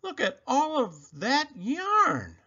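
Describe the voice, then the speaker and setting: A man's voice: extremely high pitch, wildly swooping pitch, slow pace, pushed and bright, lo-fi sound, pitched above the performer's own voice, heavily acted. A man voicing an eager, easily overwhelmed male character in a children's storybook read-aloud. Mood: eager, wondering.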